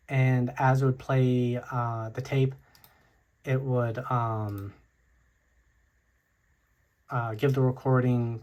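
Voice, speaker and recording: A tech video host casually walking through a product tutorial in a hesitant, halting, informal way. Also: medium pitch.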